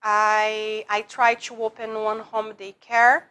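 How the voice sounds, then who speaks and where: Bright-voiced Brazilian woman, slow and halting. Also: mid-range voice, completely flat delivery, clean tone. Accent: Brazilian Portuguese. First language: Portuguese. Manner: broken English, repeats and restarts words, emotional. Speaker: guest. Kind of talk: personal story in an interview.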